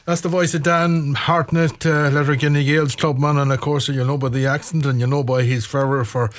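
A man's voice: on the high side, little pitch movement, fairly quick. A man presenting a radio talk show, prompting his guests with questions and short agreements. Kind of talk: casual radio chat.

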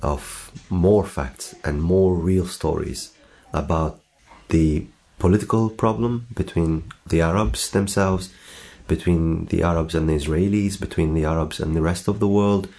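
A middle-aged man with an Arabic accent, telling his own story in a measured, earnest way with pauses. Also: deep voice, gravelly, dark tone. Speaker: documentary interviewee. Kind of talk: reflective personal storytelling.

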